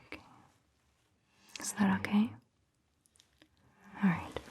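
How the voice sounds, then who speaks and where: A woman playing a calm, caring vampire doctor in a breathy, gentle ASMR whisper, telling an old tale during an exam. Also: mid-range voice, natural voice. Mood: calm, soothing. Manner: slow, soothing, hushed, gentle. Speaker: ASMR performer. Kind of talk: whispered ASMR roleplay storytelling.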